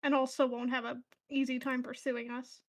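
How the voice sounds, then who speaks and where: A woman's voice: sits high, little pitch movement, normal speed. A woman playing a tabletop fantasy game, chatting casually and thinking aloud.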